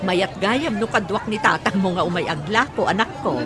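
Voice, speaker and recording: A woman's voice: medium pitch, animated, moderate pace, natural voice. A woman playing a fish-selling village mother. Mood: motherly. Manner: warm, motherly talk. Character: middle-aged mother who sells fish. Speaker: radio drama actress. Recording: radio drama with music and crowd.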